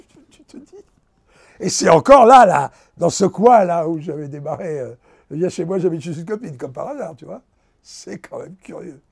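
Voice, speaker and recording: An older man, a veteran actor, telling animated anecdotes in a relaxed interview and acting out the lines of the people in his stories. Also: fairly high pitch, vocal fry, slightly rough. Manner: animated anecdotes, acts out quotes. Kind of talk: casual storytelling interview.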